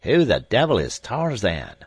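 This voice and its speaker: A man playing a loud, coarse sailor. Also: on the low side, huge pitch swings, a little slow, breathy edge, dry recording, heavily acted. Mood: jeering, shouting. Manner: shouting, jeering. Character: rough, bullying male sailor, loud and coarse.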